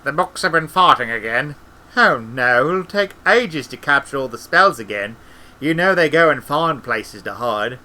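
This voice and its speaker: A man's voice: medium pitch, lively intonation, normal speed, pushed and bright, rough recording. Man as a brusque, grumbling senior wizard. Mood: exasperated.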